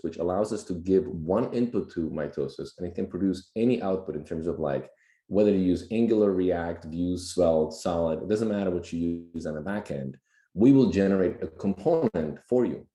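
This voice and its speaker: A man with a slightly raspy voice from a recent cold, explaining things plainly and casually as a podcast guest. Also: deep voice, dark tone. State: just getting over a cold. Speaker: podcast guest, tech executive. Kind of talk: casual explanatory tech talk. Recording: roomy, echoey sound.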